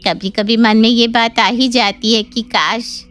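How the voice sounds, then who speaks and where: A woman's voice: fairly high pitch, steady tone, a little slow, fairly bright tone, soft background music. Fretful, envious cartoon mother acted by a woman. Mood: wistful. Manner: complaining, wistful. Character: middle-aged mother, envious and fretful about her daughter.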